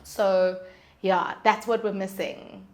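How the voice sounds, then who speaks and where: A South African woman talking casually as an interview guest, telling stories in an animated way with rhetorical questions and acted-out reactions. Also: medium pitch, slow pace. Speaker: guest, singer-songwriter.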